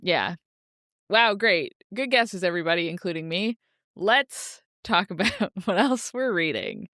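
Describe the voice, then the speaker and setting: A woman's voice: on the low side, expressive, a little slow, fairly bright tone, no room sound, clean studio sound. A woman co-hosting a podcast, chatting casually about books in a playful, jokey manner. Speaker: podcast co-host. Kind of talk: casual book discussion chat.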